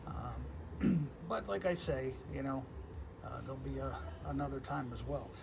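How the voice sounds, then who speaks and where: A man chatting casually as an amateur radio operator, halting and easygoing, recorded outside on a porch. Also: medium pitch, creaky. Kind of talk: casual ham radio conversation. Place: outdoors on a house porch. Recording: noisy outdoor recording with ambience.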